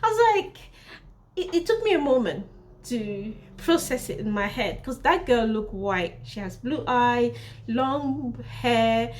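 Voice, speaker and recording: A young woman from Africa vlogs to camera, her voice sitting a touch high. She tells her story in a chatty, animated way, full of fillers. She often breaks into acted-out dialogue. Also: a little slow, a little hiss or hum. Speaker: vlogger and fashion designer.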